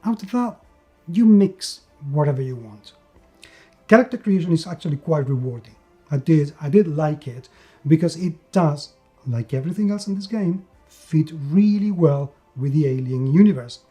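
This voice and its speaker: Mellow, higher-voiced man giving an emphatic review. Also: lively intonation. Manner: emphatic, with repetition and dramatic pauses. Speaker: tabletop game reviewer. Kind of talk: conversational video review. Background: background music.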